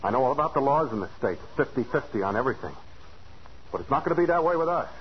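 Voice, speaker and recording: A man's voice: medium pitch, animated, quick pace, natural voice. A man playing a proud, jealous, domineering husband in a 1940s radio drama, curt, commanding and needling. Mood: hard, defiant. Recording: dull, hissy 1940s radio recording.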